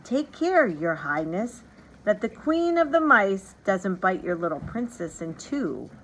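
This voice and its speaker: A woman's voice: mid-range voice, sing-song, fairly bright tone, a little hiss or hum, rough recording. Woman as a menacing, vengeful mouse queen. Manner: menacing, deliberate warning.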